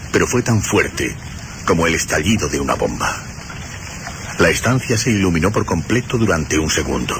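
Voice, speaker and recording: Male narrator for radio suspense: very low, highly expressive, deliberate dramatic phrasing, loud background noise.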